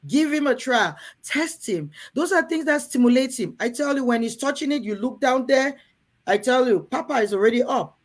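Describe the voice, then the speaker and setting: Cameroonian woman hosting a talk, kinda high voice, coaxes the ladies over and over. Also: expressive, moderate pace. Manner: earnest, coaxing, repetitive asides to the ladies. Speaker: host. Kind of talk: frank talk to a women's audience.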